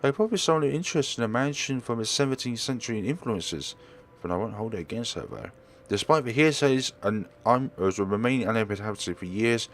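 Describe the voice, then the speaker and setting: A man reading visual novel text aloud as a let's player, expressive and occasionally stumbling over his words. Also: medium pitch. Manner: expressive, stumbles and corrects himself while reading.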